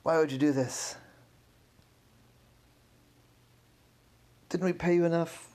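A man's voice: mid-range voice, a little fast, creaky, mellow, natural voice. A man voicing a stern museum curator in a story, insistent, exasperated and scolding. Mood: outraged. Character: stern, indignant museum curator confronting a culprit.

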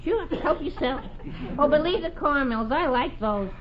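High-voiced droll teenage moocher. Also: male voice, expressive, normal speed. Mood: breezy. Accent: American. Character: droll, food-mooching teenage boy, unhurried and stubborn. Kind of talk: 1940s radio comedy acting. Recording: muffled, noisy radio recording with audience.

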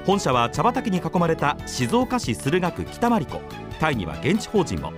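A woman's voice: deep voice, wildly swooping pitch, moderate pace, over music. A woman announcer reading a scripted radio advertisement in short, punchy copy phrases. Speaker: commercial announcer.

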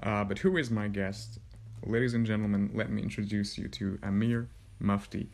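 A man hosting a podcast interview, speaking in a halting, formal way. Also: on the low side, creaky, darkish tone. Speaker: podcast host.

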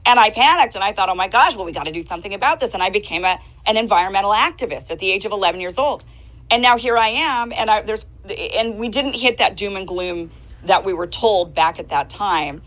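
A woman's voice: medium pitch, a little fast, a bit bright. A woman in her forties speaking emphatically and rhetorically as a panelist in a political discussion. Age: 40s. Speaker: panelist on a political talk show. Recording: slightly dull, band-limited audio.

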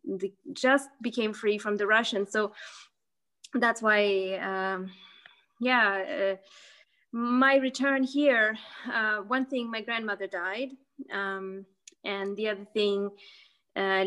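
A woman's voice: mid-range voice, steady tone, takes it slowly, bright, forceful tone. A young woman from Lithuania in a casual interview, talking hesitantly with many fillers and restarts. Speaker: podcast guest.

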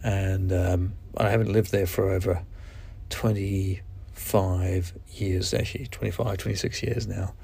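A man in his forties with a New Zealand accent, hosting his own podcast in a reflective, halting way with frequent restarts. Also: low-pitched, level tone, gravelly, dark tone, breathy edge. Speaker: podcast host. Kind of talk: candid solo podcast monologue.

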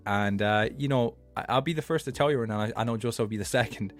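A man's voice: on the low side, fast, faint music behind. A man in his early twenties hosting a casual podcast, rambling with lots of fillers and restarts. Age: 20s. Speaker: podcast host. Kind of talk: casual late-night podcast chat.

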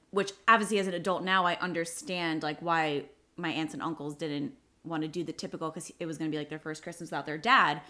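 A woman's voice: on the low side, sharp tone. A woman in her late twenties hosting a casual podcast chat, talking loosely with lots of "like" fillers. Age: 20s. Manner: chatty, many "like" fillers. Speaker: podcast host.

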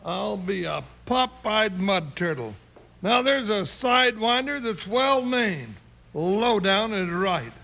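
Very high-voiced excitable comic cowboy sidekick. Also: male voice, takes it slowly, creaky, exaggerated voice. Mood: amazed. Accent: American. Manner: folksy, exclamatory, grumbling. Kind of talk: old-time radio western acting. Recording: noisy old radio recording.

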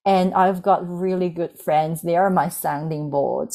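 A woman in her thirties speaks English with a Mandarin Chinese accent. Her voice sits low and carries a gravelly fry, and she tells her stories candidly, pausing on ums and restarting her phrases. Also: fairly even intonation, dark tone. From China. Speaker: guest. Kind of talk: reflective personal storytelling.

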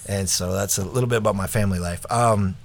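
Low-voiced relaxed man. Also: fast. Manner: relaxed, lots of fillers, laughs along. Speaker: podcast co-host. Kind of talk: casual conversational introduction.

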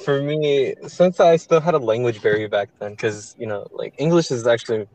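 A man's voice: medium pitch, normal speed, a little mellow, poor audio. A teenage boy whose first language is Spanish, co-hosting a casual chat in an easygoing way and asking follow-up questions. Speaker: co-host.

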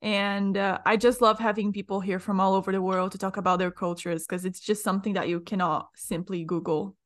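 A woman's voice: mid-range voice, little pitch movement, a little fast. A woman hosting a podcast interview, asking friendly, curious questions while she has a cold. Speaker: podcast host. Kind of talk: casual interview.

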